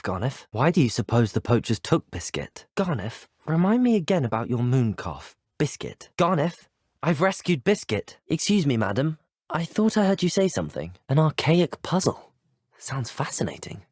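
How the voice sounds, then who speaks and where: A man's voice: mid-range voice, big pitch swings, normal speed, darkish tone, no echo, clean studio sound. A young man with a British accent playing a polite, curious, brave teenage student wizard in a video game. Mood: polite, dry. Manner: polite, well-spoken, formal. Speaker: video game player character. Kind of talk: scripted video game dialogue.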